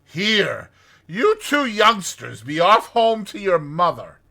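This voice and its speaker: Man voicing a hearty, emphatic storybook badger, high and animated. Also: slow, gravelly, full character voice. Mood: kindly. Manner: emphatic, rhetorical, declaiming. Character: hearty, kindly, opinionated badger, proud of his burrow. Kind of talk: dramatized storybook reading.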